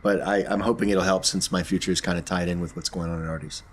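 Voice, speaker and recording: A man playing a tabletop game, talking casually through his actions in a deliberate, explanatory way. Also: deep voice, fairly even intonation, breathy edge.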